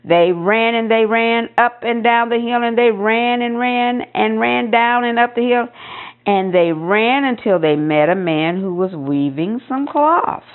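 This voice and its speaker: A woman reading a children's picture book aloud in an expressive storytelling style, with emphasis on repeated phrases. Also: fairly high pitch, measured pace, narrow, tinny audio. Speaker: storybook reader.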